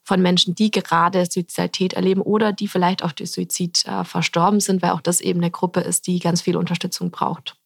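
A woman's voice: on the low side, level tone, a little fast, creaky, hardly any pauses, no echo, clean studio sound. A woman, a health scientist, explaining her research in a podcast interview, thoughtful with frequent hesitations.

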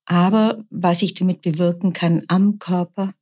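Calm, low-voiced middle-aged woman. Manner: calm, measured, often slow and deliberate. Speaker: nurse and sound bowl practitioner. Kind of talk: explanatory interview answers.

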